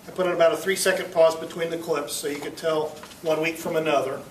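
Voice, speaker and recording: High-voiced American man presenting in echoey room. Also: little pitch movement, fast talker. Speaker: club presenter. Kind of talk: informal introduction to a meeting. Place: meeting room. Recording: echoey room, distant mic, faint noise.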